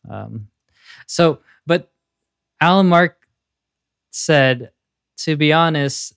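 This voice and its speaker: Smooth, deep-voiced man, dry close sound. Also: expressive, extremely slow, a bit bright, no room sound. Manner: enthusiastic, chatty, frequent fillers. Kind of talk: casual reaction chat.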